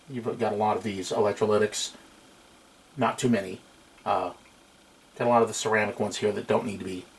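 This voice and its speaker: A middle-aged man giving a casual, unscripted hands-on demonstration at a workbench, recorded on a camera microphone in a small room. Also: fairly low pitch. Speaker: hobbyist electronics restorer. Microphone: camera microphone at a short distance. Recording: noisy amateur camcorder room recording.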